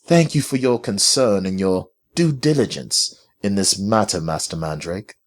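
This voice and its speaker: A man voicing a polite, authoritative British mistress in a formal, measured manner for a story. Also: medium pitch, sing-song, darkish tone, voice pushed up. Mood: composed.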